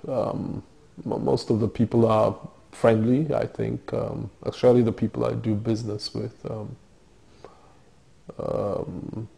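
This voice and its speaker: Man, middle-aged, dark timbre, hesitant, interview. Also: lowish voice, gravelly. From Nigeria. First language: Yoruba. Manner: thoughtful, hesitant, many fillers and restarts. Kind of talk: oral history interview.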